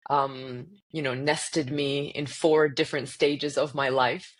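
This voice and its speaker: Woman, 30s, from Spain and the US; deep, lively, laughing guest. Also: slow pace. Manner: animated, laughs often, playful asides. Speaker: leadership coach guest. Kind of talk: casual interview.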